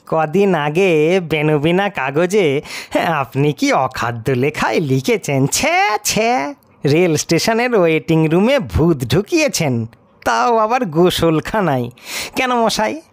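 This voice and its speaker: A man's voice: a little high, very animated, slow, no room sound, studio quality, full character voice. An actor playing an irascible, scornful male stranger in an audio story, scolding sarcastically. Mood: irritated. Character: irascible cigar-smoking stranger, scornful critic of ghost stories. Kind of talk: audio horror-comedy story acting.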